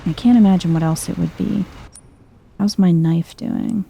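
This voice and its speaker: A woman giving soft-spoken, chill ASMR-style commentary as she plays a video game, murmuring as if to herself. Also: on the low side, steady tone, normal speed, vocal fry, a little hiss or hum. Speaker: video game streamer, let's player.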